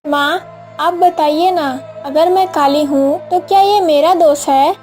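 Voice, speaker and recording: A woman voicing a kind, wronged elder daughter-in-law in a cartoon moral story, gentle and plaintive. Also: high-pitched, slow pace, soft background music. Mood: hurt, pleading.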